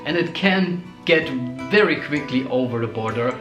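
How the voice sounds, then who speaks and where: A young man who did not grow up speaking tells personal stories in an earnest, halting way, often stopping to restart. His voice sits a little high for a man. He is recorded in an echoey room with soft music playing underneath. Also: lively intonation. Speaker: co-host. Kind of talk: casual chat about relationships.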